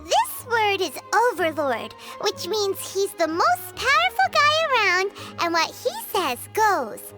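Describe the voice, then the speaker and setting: A woman voicing a tiny, excitable, bossy fairy-like girl companion in a video game, chatty and squeaky. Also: takes it slowly, few pauses, heavily acted. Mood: explaining. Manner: squeaky, chatty, speaks of self in third person. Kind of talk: video game cutscene acting. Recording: loud jingly music behind the voice.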